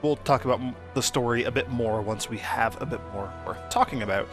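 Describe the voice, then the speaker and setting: A man's voice: medium pitch, fairly quick, faint music behind. A man giving casual, understated and wry commentary as he plays through a video game. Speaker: let's play host. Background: game soundtrack.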